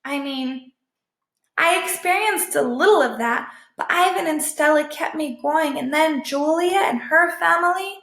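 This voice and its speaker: Woman as small male storybook dog, high-pitched, bitter and indignant, emphatic, echoey room. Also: unhurried, fairly bright tone. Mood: wondering, self-doubting. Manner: emphatic, incredulous.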